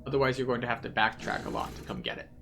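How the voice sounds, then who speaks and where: A man's voice: medium pitch, steady tone, very fast, vocal fry, background noise. A man giving a methodical, explanatory guided commentary through a video game as the host.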